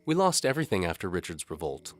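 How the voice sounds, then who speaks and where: A man's voice: sits low, very animated, fast, soft background music, pitched above the performer's own voice. A man voicing a proud, earnest young tavern woman, a knight's daughter, in an audio drama. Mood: bitter. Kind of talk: audio drama character acting.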